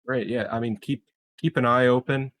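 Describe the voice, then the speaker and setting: A man's voice: medium pitch, steady tone, normal speed, a bit bright, no room sound. A man chatting as a player in a tabletop game, speaking informally with frequent hesitations. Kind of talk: casual game chat.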